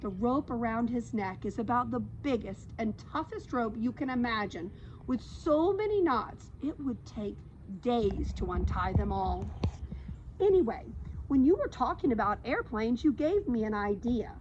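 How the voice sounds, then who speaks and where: A woman voicing a kindly old female cat in a storybook, telling tales in an earnest, unhurried way. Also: on the high side, lively intonation, a little mellow, lo-fi sound, slight background noise. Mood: coaxing.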